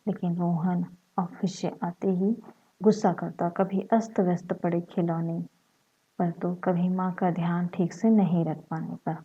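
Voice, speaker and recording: A woman with a slightly husky voice, narrating a short story. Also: lowish voice, dark tone, highs cut off.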